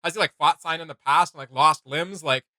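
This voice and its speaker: A man co-hosting a casual reaction video, rambling with lots of fillers and quick laughs. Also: a little high, sharp tone, no echo.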